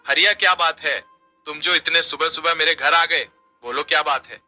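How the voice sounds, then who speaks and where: A man voicing a shrewd, genial, food-loving wealthy merchant in a cartoon moral story, speaking in a deliberate, declamatory way. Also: a little high, sharp tone, soft background music. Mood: questioning. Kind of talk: animated moral story dialogue.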